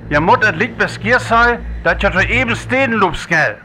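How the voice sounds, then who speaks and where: Harsh, high-voiced radio operator. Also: male voice, lively intonation, moderate pace, sharp tone, a little hiss or hum. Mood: tense warning. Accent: North Frisian. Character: urgent radio operator sending coded calls and warnings. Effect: radio filter. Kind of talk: radio drama transmission.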